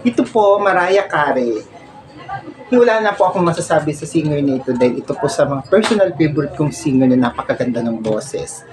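A middle-aged man with a Filipino accent and a fairly high voice talks informally to the camera. He speaks in a halting, polite, repetitive way. The phone recording from his home has loud noise throughout. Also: animated. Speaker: vlogger showing a personal collection. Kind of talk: informal show-and-tell to camera. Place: small cluttered room at home.

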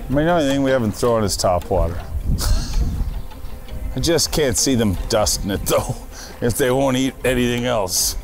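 A middle-aged man hosting a fishing show from a boat on a lake, jokey and quick to exclaim. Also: mid-range voice. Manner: excitable and jokey, exclaiming often. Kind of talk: excitable on-the-water fishing chat. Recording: music under the voice.

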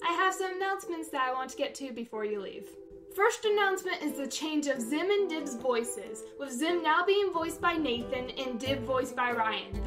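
A young woman hosting a channel, giving scripted announcements to camera in a bedroom. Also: high-pitched. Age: young adult. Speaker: channel host and dub director. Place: bedroom, indoors. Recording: distant camera pickup under music. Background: background music.

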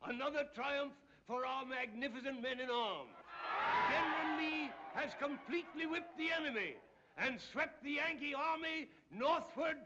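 An actor playing a pompous older orator making a florid, declamatory announcement, on a dull old film soundtrack with room noise. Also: male voice, very high-pitched, moderate pace. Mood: grandiloquent.

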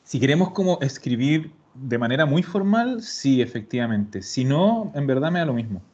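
A man's voice: medium pitch, big pitch swings, darkish tone, slightly rough. Patient man teaching over a call. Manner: patient, explains slowly, repeats words. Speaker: Italian teacher. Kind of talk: online class explanation. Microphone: video call. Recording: dull lo-fi call audio.

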